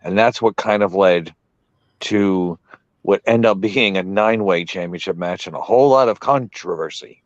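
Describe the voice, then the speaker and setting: Guy losing his voice on a podcast, low and muffled, keeps hedging. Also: moderate pace, no room sound. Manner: hedging, repeatedly unsure of his memory. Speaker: podcast co-host. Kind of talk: casual podcast chat.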